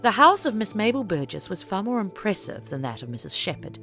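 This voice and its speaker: A woman's voice: mid-range voice, very animated, brisk, a bit bright, soft background music. A woman reading a scripted story aloud as an audiobook narrator.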